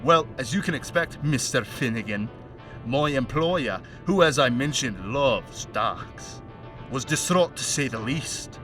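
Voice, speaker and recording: A man playing a mocking, menacing crime henchman with a put-on Scottish accent in an audio play monologue, chatty and sardonic with threatening pauses. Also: medium pitch, expressive, fairly bright tone, recorded from a distance, faint music behind. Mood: grave menace. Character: menacing, mocking male crime henchman taunting a bound captive.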